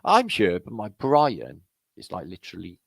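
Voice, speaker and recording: A man's voice: lowish voice, wildly swooping pitch, normal speed, vocal fry, no room sound, studio quality. A middle-aged Welsh man hosting a casual livestream, rambling and swearing as he greets viewers in the chat. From Wales. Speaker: livestream host. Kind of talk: casual live-chat banter.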